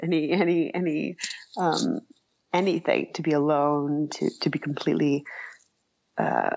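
A woman giving thoughtful, halting, conversational answers as an interview guest, with a dull lo-fi recording. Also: sits low, animated, creaky, darkish tone, no echo. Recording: dull, lo-fi, dry audio.

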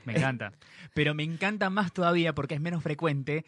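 A man joining a chat among friends with wry jokes. He talks fast in a slightly raised voice. The sound is dry. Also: a little high, a bit bright, no room sound. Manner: wry, joking. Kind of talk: casual group chat.

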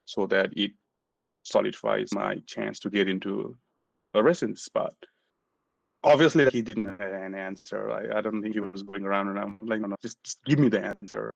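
A man's voice: fairly low pitch, gravelly, dry recording, dull audio. A man in his forties from Nepal, a physician telling his story in a casual interview, earnest and self-deprecating. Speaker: guest physician.

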